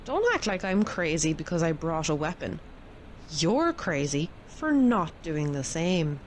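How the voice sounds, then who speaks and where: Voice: mid-range voice, sing-song, measured pace, slight background noise. A performer voicing a brusque, impatient female teammate on a chase.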